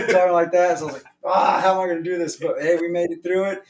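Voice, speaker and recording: A man's voice: sits high, fairly even intonation, quick pace. A man who sings in the band, telling a story in a chatty way and laughing often. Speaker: band vocalist. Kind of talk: casual chat. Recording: distant mic.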